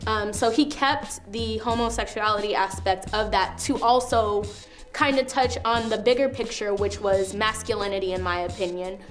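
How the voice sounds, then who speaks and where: A woman's voice: mid-range voice, steady tone, normal speed, soft background music. A young woman co-hosting a casual film review, upbeat and chatty, prompting her partner with questions.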